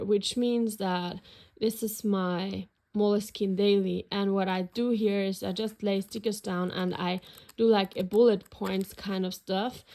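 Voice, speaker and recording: A woman's voice: medium pitch, a little mellow. A woman whose first language is German, casually narrating a journal flip-through in a rambling, hesitant way with many fillers. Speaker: journaling vlogger.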